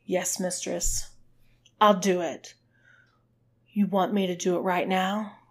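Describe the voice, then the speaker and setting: Anguished, gravelly submissive man, played by a woman. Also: on the low side, little pitch movement, takes it slowly. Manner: intense, agitated confessing. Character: guilt-ridden, submissive adult man confessing in anguish.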